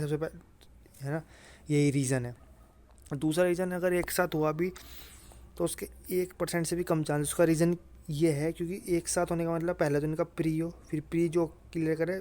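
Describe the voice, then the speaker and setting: Guy explaining exam stuff to students, kinda high voice, pretty flat, keeps checking you get it. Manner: reassuring, repeatedly checks understanding with tag questions. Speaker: exam-prep channel host. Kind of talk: informal explainer addressed to students.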